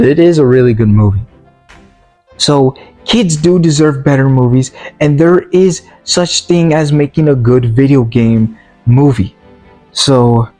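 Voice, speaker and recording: A man's voice: medium pitch, mellow. A man giving a casual spoken movie review, rambling with many fillers and restarts, his voice worn out and tired. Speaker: video reviewer.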